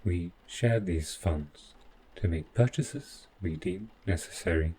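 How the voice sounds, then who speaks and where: A man playing an overprotective, possessive robot butler in an audio roleplay, calmly menacing yet devoted, with formal, measured, clinical phrasing. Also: low-pitched, a little hiss or hum. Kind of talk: scripted audio roleplay addressed to the listener.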